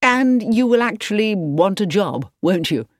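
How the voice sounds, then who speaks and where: A woman's voice: medium pitch, animated, measured pace, no echo, clean studio sound, pitched above the performer's own voice. A woman voicing a cheerful, admiring young man who exclaims eagerly.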